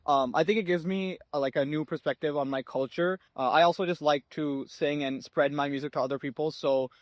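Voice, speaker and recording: Fast-talking teenage boy being interviewed. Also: fairly high pitch, steady tone, dry recording. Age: teens. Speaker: student interviewee. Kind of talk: interview answers.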